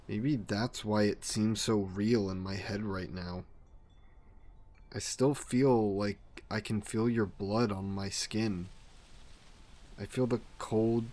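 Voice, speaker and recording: A man voicing a grieving vampire king who talks slowly and with remorse about his past. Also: fairly low pitch, darkish tone, slight background noise. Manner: slow, brooding, remorseful. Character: grieving regretful vampire king, former ruler. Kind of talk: audio roleplay narration.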